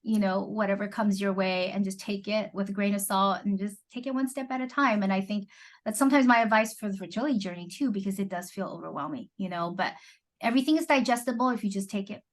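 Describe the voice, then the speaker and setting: A woman's voice: mid-range voice, fast. A middle-aged American woman answering interview questions conversationally as a fertility doctor, chatty and peppering her talk with "you know". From Southern California, born in Taiwan.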